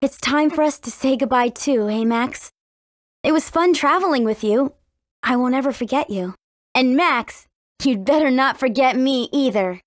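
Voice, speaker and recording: A woman's voice: high voice, normal speed, no room sound, clean studio sound, natural voice. A woman voicing a spirited, cautious fifteen-year-old warrior princess in a video game, earnest and youthful in her delivery. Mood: wistful. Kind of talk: English video game voice acting.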